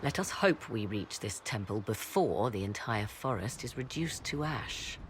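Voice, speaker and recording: A woman's voice: rumbling low, lively intonation, measured pace, a little hiss or hum. A woman acting as a haughty, sardonic, learned witch in a video game, with arch and formal phrasing. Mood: grave, intent.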